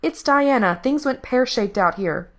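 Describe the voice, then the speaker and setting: A woman voicing a tough, sharp-tongued woman rebel fighter with a brash, impatient manner in a story. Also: a little high, animated, a little slow, voice pushed up, heavily acted. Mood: alarmed. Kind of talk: acted audiobook dialogue.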